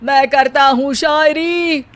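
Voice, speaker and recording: A woman's voice: high voice, fairly even intonation, slow pace, a bit bright. A woman playing a lazy, self-pitying young son-in-law in a cartoon story, declaiming theatrically in rhyming couplets. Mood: aggrieved.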